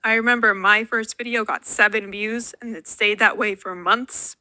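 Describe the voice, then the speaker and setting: A woman's voice: mid-range voice, steady tone, moderate pace, pushed and bright, no room sound. A young woman from Finland, a native Finnish speaker, answering viewer questions in a dry, self-deprecating voice-over. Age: young adult. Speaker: YouTuber. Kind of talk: Q&A vlog voice-over.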